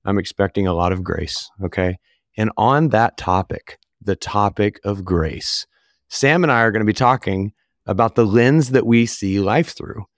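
A man co-hosting a casual podcast chat, his voice still a little hoarse and squeaky from losing it, talking in a loose, easygoing way. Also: normal speed, no echo, clean studio sound. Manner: easygoing, loose, lots of fillers. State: recovering from voice loss, occasional squeak. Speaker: podcast co-host.

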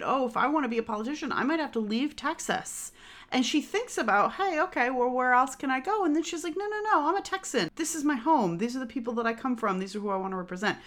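Woman cohost, casual podcast chat, easygoing and conversational. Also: sits high. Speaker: podcast co-host.

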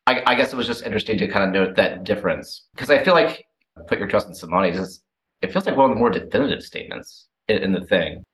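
A man's voice: lowish voice, fast talker, a bit bright. A man in a casual, reflective group discussion, hesitantly searching for his words, recorded with room echo. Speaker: discussion participant. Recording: echoey room sound.

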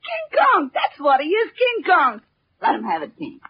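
A woman's voice: sits high, wildly swooping pitch, a little slow, full character voice. An actress playing a brash, rowdy party girl who whoops and talks slangy in a 1940s radio drama. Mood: rowdy, egging on. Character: rowdy, shrill party girl egging on her trumpeter boyfriend. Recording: muffled, noisy 1940s radio recording.

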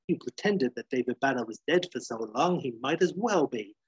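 A man's voice: mid-range voice, animated, normal speed, slightly rough. A man voicing a relentless, cruel accuser in a dramatic story, hammering out heated rhetorical questions. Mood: heated, accusing. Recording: dull, roomy sound.

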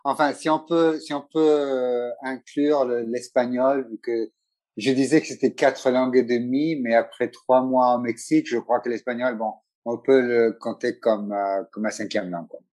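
A middle-aged man, a photographer talking as a guest in a relaxed interview, hesitating and restarting often. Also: medium pitch, normal speed. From Italy. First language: Italian. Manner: hesitant, many restarts and fillers. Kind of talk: casual conversational interview.

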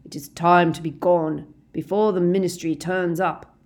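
A woman voicing a sneering, commanding male wizard villain, shouting contemptuous taunts in a dramatic audiobook style. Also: low voice, normal speed, creaky.

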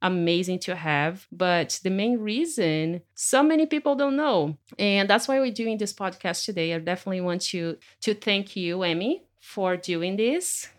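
A woman photographer hosting a podcast interview in her studio, speaking gently and effusively with many fillers. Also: mid-range voice, animated, normal speed, hardly any pauses. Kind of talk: warm conversational interview.

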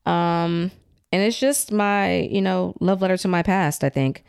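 A woman guest, an author and stand-up comic, chatting in a relaxed, easygoing way. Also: lowish voice, a little slow, vocal fry, clean tone. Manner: relaxed, chatty, sprinkles in faith talk. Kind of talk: casual interview chat.

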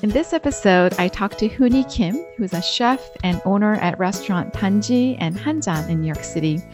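A woman speaks as a podcast host and interviewer. Her voice sits at an average pitch for a woman. She has a conversational, introductory way of presenting, with light music in the recording. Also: expressive, moderate pace.

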